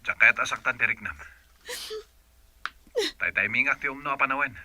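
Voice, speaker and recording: A man's voice: mid-range voice, normal speed, bright, forceful tone. A man playing a cold, irritated boyfriend in an Ilocano radio drama, curt and dismissive, with a Filipino accent. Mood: cold, firm.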